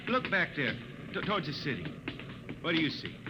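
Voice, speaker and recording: A man's voice: fairly high pitch, huge pitch swings, a little fast. An actor playing a blind, weary drifter spaceman and singer from the Ozark hills, speaking in a folksy rural drawl with a wistful, stubborn manner, in a 1950s radio drama. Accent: Ozarks rural American. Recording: muffled old radio broadcast with hiss.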